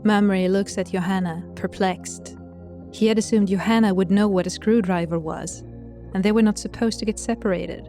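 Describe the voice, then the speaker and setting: A woman's voice: medium pitch, steady tone, normal speed, warm tone, soft background music. A woman giving scripted narration as the storyteller of an audio drama.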